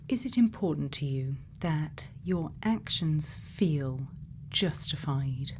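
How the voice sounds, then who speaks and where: Whispering woman, low-pitched, over background noise. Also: animated. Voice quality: breathy whisper mixed with soft low murmur. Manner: slow, hushed, deliberate with long pauses. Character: gentle scientist questioning a robot friend. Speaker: ASMR performer. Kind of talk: ASMR roleplay, whispered and soft-spoken.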